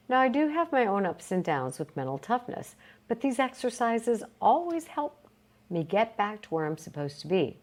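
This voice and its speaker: A woman reading a motivational self-help book aloud, with emphatic, deliberate phrasing and short pauses. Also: mid-range voice. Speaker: reader of a book read aloud.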